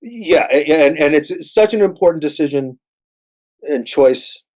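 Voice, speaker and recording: A man of sixty speaking earnestly in a panel discussion, halting and repeating words for emphasis. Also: on the high side, animated, darkish tone. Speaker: actor on a panel.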